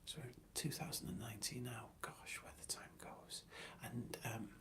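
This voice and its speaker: A man whispering an ASMR question-and-answer ramble in a soft, hesitant and thoughtful way. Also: medium pitch, steady tone, moderate pace.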